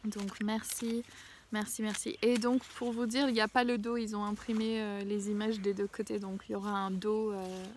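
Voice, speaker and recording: A woman with a head cold doing a casual spiritual card reading outdoors. She pauses often with fillers and sometimes drops to a hushed near-whisper. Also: medium pitch, little pitch movement, a little hiss or hum. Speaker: oracle card reader. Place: outdoors in a small mossy spot with violets.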